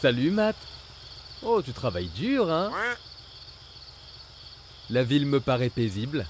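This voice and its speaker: Expressive man narrating for small children. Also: mid-range voice, measured pace, noisy background. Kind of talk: children's cartoon narration, talking to the characters.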